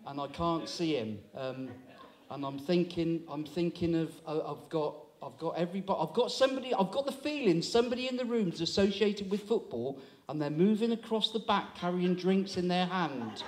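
A man in his sixties with a fairly high voice holds a handheld mic on a small town hall stage. He chats and teases the audience in easy stand-up crowd work. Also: expressive, moderate pace, few pauses, a little mellow. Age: 60s. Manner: chatty, teasing, audience banter. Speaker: comedy compere. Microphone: handheld microphone.

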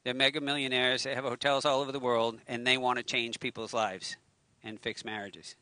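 A man's voice: medium pitch, flat delivery, a little fast, pushed and bright, no echo. A middle-aged man preaching a casual sermon outdoors on a handheld mic, chatty, with joking self-mocking asides. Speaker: pastor. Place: outdoors by a waterfront on a lawn. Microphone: handheld microphone at a lectern.

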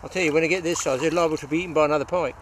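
An older man talks to camera as a fishing show host. His voice sits a little high, and his delivery is chatty and exclamatory. He is recorded outdoors on a riverbank with faint background noise. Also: steady tone, racing. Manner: chatty, exclamatory, addressing viewers directly. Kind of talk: casual fishing vlog commentary to camera.

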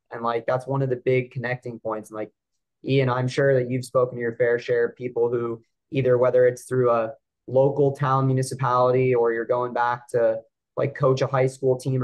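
A man's voice: medium pitch, low-quality recording. A man co-hosting a casual podcast, talking in long rambling turns full of "like" fillers. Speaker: podcast co-host. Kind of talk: casual interview chat.

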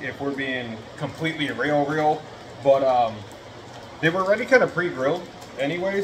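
A man's voice: on the high side, slight background noise. Chatty man in an echoey kitchen. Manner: chatty, rambling, self-deprecating, talks while eating. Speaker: home cooking vlogger. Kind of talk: casual chat to camera. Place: home kitchen, indoors. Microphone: fixed camera across the counter.

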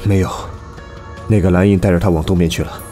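Radio drama: resolute male squad leader, deep voice, authoritative and sparing with words, acted by a man. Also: steady tone, fast talker, dark tone, soft background music, natural voice. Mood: commanding. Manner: terse, authoritative. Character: grave, resolute male team captain.

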